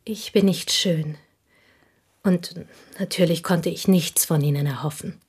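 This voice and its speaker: An actress in a German radio play, playing an intense, embittered provincial Frenchwoman making a fervent, halting confession in a husky, breathy voice. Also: lowish voice, darkish tone. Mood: pained, confessing. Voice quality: husky, breathy, dropping into whispers. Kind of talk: German radio play acting, dramatic monologue.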